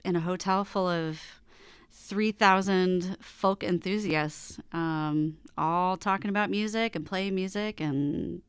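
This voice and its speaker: A woman's voice: on the low side, slow, smooth, no echo. A woman musician chatting casually as a guest in a radio studio interview. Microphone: studio microphone.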